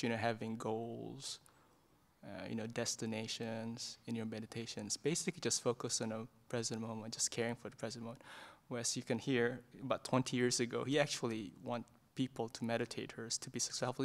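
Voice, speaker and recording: A middle-aged monk from Indonesia talks through a book at a hesitant, gentle pace, full of restarts and fillers. His voice is mid-pitched with a gravelly edge. He speaks into a stand mic in a meditation hall. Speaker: Buddhist monk leading a book club talk. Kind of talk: informal book discussion talk. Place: meditation hall in a Buddhist centre. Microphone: stand microphone in front of his chair.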